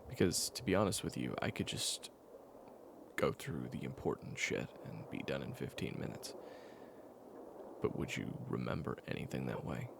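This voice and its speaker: A man playing a weary, patient caretaker in an intimate audio roleplay, speaking in a hushed, hesitant way. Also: expressive, normal speed, background noise, exaggerated voice. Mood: patient, reassuring. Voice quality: very low gravelly voice. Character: weary, patient man caring for an amnesiac companion, retelling their past.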